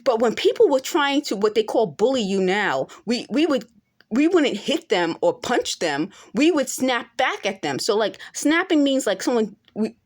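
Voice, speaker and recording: A woman's voice: a little high, big pitch swings, normal speed. A woman in her forties talking straight to camera in an unscripted monologue, earnest and insistent, now and then rising to emphatic peaks. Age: 40s. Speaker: vlogger.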